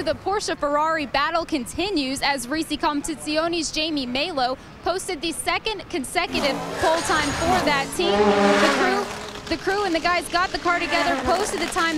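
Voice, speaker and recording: A woman giving a scripted pit report for a race broadcast, brisk and newsy. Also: high voice.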